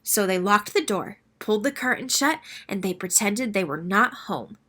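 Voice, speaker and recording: A woman reading a children's story aloud as an expressive narrator. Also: medium pitch, moderate pace.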